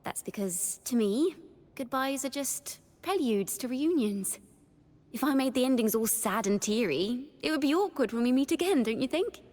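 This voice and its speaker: A woman voicing a young, horned wandering bard in a video game, a cheerful yet wistful poet who speaks in lyrical, expressive storytelling with a reverberant tail. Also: a little high. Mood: playful, warm. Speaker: game character voice. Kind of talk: scripted character monologue.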